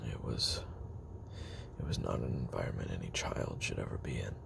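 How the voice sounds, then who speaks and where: A man playing a weary, guarded former assassin in a confessional audio roleplay, murmuring haltingly with a gravelly, breathy voice. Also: very deep voice, lively intonation, background noise, full character voice. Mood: haunted, halting. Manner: halting, hesitant, self-doubting, trailing pauses.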